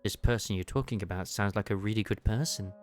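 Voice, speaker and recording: Man reading as a low, serious game commander. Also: quick pace, soft background music, close to an everyday voice. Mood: grave, curious. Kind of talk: read-aloud video game dialogue.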